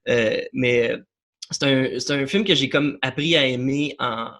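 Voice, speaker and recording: A man's voice: medium pitch, a little slow, fairly bright tone. Rambling young Québécois man. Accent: Québécois French. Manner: rambling, many fillers and restarts. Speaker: podcast guest. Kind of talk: casual chat about films.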